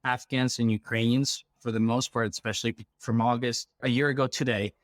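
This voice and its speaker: A man's voice: medium pitch, steady tone, a little fast, no echo, clean studio sound. A man from Ecuador explaining things conversationally as an interview guest, often checking in with the listener. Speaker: interview guest, refugee program worker.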